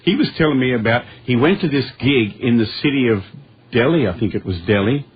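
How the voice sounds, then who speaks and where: Man, middle-aged, radio interview guest, animated storyteller, dull lo-fi broadcast sound. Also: mid-range voice, a little fast, husky. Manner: animated storyteller, chuckles through anecdotes. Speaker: guest musician.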